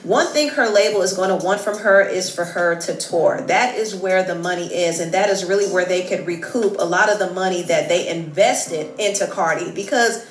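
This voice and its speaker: A woman's voice: on the low side, normal speed, hardly any pauses, echoey room. A woman gossip host giving scripted, emphatic celebrity news commentary with dramatic reveals.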